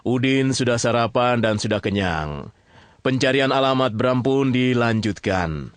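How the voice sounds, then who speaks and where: A man's voice: mid-range voice, expressive, a little slow, clear, resonant tone, no room sound. A man narrating a story in a conversational, colloquial way, often checking in with his listeners. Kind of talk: conversational storytelling in an audio drama.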